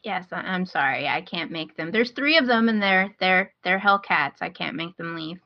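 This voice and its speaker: A woman's voice: fairly low pitch, expressive, normal speed, no echo, lo-fi sound. A woman hosting a podcast, talking casually in a chatty, enthusiastic way about things she recommends. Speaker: podcast host.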